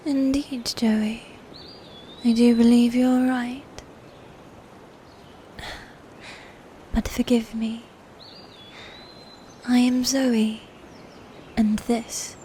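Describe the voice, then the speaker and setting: Sadistic female knight, played by a woman, dark fantasy ASMR. Very unhurried, softly dark tone, formal taunts, breathy whispers, slight background noise. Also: a little high. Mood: smug, taunting. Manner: slow, mocking, formal old-fashioned speech with breathy whispers.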